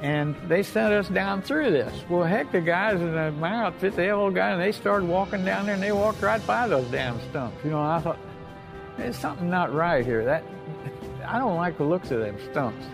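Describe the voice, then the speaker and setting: Gravelly older American man, war veteran. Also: sits high, expressive, over music. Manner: folksy, colloquial, halting with repeats. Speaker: war veteran recounting memories. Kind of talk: informal oral-history storytelling.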